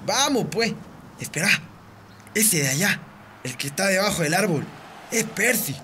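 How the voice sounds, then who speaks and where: A man's voice: high voice, animated, moderate pace, noisy background. A man with a Bolivian accent playing a principled, earnest teenage boy in a radio drama. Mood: concerned, eager.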